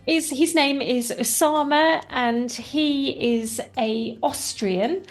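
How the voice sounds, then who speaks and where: A woman's voice: high voice, slow, soft background music. Woman co-host with a friendly, teasing manner. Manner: laughs easily, teasing and friendly. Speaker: podcast co-host. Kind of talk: casual banter.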